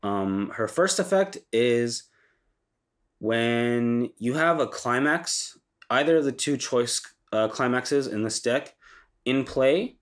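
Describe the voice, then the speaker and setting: A young man with a congested, stuffy-nosed voice hosts a casual explainer. He sits at an ordinary middle pitch and talks loosely, with frequent ums and restarts. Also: lively intonation, slow pace. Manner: loose and conversational, frequent ums and self-corrections. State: congested from a cold. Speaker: channel host reviewing a card game deck.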